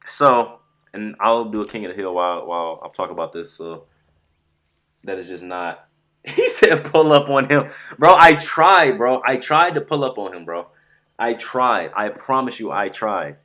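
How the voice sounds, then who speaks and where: A man streaming live about a fighting game, rambling and excitable, sounding stuffy from a sore throat. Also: fairly low pitch, measured pace. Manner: excitable, rambling, says "bro" a lot. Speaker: gaming streamer.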